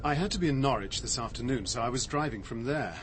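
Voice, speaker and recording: An actor playing a shaken, defensive man with a halting, reflective, dry delivery in a radio drama. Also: mid-range voice, animated, noisy background, close to an everyday voice. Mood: uneasy recounting. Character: shaken man recounting his discovery, defensive, sardonic.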